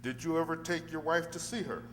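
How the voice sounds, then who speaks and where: A man playing a probing defence lawyer in an amateur radio drama, speaking formally and deliberately. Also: on the high side, close to an everyday voice. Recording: echoey hall, faint hum.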